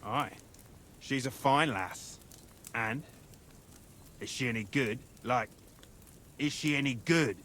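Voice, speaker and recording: A man's voice: medium pitch, slow, long pauses, sharp tone, slight background noise. An actor playing a crude, teasing soldier who needles his comrades with bawdy jokes. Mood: mocking. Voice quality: bawdy, mocking.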